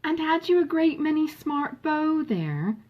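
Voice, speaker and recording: A woman's voice: high-pitched, very animated, unhurried, dull audio, pitched above the performer's own voice. A woman reading aloud as a gushing, chatty, forward young lady, effusive and emphatic, in a storybook. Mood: prying, eager. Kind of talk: acted dialogue in a storybook reading.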